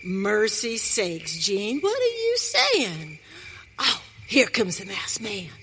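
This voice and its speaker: A woman's voice: medium pitch, wildly swooping pitch, fairly bright tone, exaggerated voice. A woman playing a feisty pioneer wife in a western radio play, with an American accent and folksy, emphatic frontier speech. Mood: surprised. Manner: folksy frontier dialect, emphatic, drawn-out delivery. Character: Maggie, feisty pioneer wife on a wagon train. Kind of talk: live western radio theater acting. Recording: hall reverb, faint noise.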